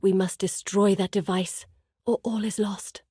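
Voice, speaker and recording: A burdened woman explorer from a fantasy video game. Her voice is soft-edged and mellow, recorded very dry and close. She speaks earnestly and with measured, articulate gravity, as a guide who carries heavy responsibility. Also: mid-range voice, little pitch movement, breathy edge, dry recording. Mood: urgent, worried. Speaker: video game character voice actor. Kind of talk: dramatic fantasy game dialogue.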